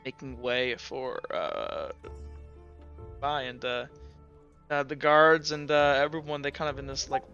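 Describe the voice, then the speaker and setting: A man running a tabletop game, improvising narration in a halting, casual way. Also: medium pitch, pushed and bright, highs cut off, faint music behind. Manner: halting, casual, full of "like" and "kinda". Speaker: game master.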